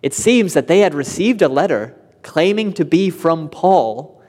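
A man preaching in a church, his fairly high voice carrying through a long, echoing reverb. He explains step by step and climbs to earnest emphasis when a point matters. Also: animated, measured pace, a little mellow. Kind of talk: expository sermon.

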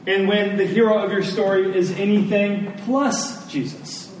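High-voiced male preacher in a roomy space. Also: steady tone, a little slow. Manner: earnest, emphatic, repeats key phrases. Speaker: pastor preaching to his church. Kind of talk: sermon. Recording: roomy, distant mic, faint noise.

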